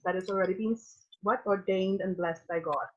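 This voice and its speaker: A woman from Trinidad with a clear Trinidadian accent, hosting a relaxed live-stream interview. She talks with enthusiasm and fires up easily, at times sounding almost like she is preaching. Her voice sits mid-range, and the sound is somewhat dull and muffled. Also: mid-range voice, brisk.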